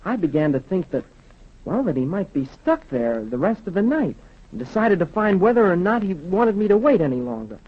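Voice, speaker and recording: An actor playing an eager, polite schoolboy son in a 1930s radio drama, with an earnest boyish manner. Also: male voice, high-pitched, very animated, normal speed, breathy edge, heavily acted. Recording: dull, worn 1930s radio recording.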